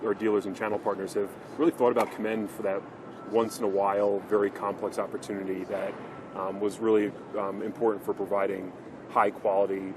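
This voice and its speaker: A man giving earnest corporate sales talk as a company representative, in an informal business interview at a trade show. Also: lowish voice. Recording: clear show-floor background noise.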